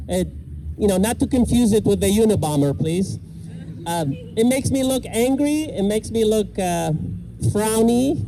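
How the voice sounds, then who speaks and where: A middle-aged man from Iraq performs stand-up outdoors with a fairly high voice. He delivers self-deprecating jokes with deadpan timing, and clear park noise and passing cars run under the set. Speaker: stand-up comedian. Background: park ambience and vehicles.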